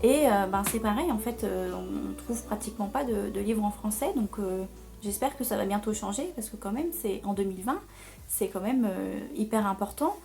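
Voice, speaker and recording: A young woman talking casually about books, pausing often and filling gaps with hesitant sounds. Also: mid-range voice, creaky, over music. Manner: hesitant, many fillers. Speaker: book vlogger. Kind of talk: casual book chat.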